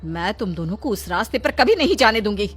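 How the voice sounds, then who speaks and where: Angry cartoon mom, female narrator putting her on: raised, forceful scolding with menace, light music underneath. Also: medium pitch, sing-song, a little fast, slightly rough, pitched above the performer's own voice. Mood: furious. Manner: sharp, threatening scolding. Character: angry, strict mother scolding her two children. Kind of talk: Hindi cartoon story acting.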